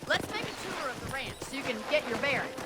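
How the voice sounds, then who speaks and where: An actress playing a spirited, sharp-tongued young rancher woman of the Old West, with a wry, teasing delivery for a video game. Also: sits high, sing-song, heavy background noise. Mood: brisk, lively. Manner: wry, teasing, brisk, plain-spoken. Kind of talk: video game cutscene acting.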